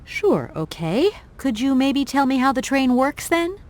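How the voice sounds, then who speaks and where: A woman's voice: high-pitched, a little slow. A woman playing a plucky, practical young adventurer in a video game, with a natural, wry delivery. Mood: wry, exasperated. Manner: natural, expressive, casually wry. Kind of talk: video game character acting.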